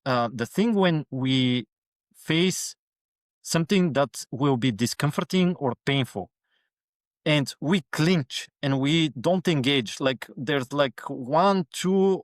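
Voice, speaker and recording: A man's voice: on the high side, slow pace, dry recording, clean studio sound. A man being interviewed as a guest on a casual podcast, giving earnest motivational advice with lots of fillers.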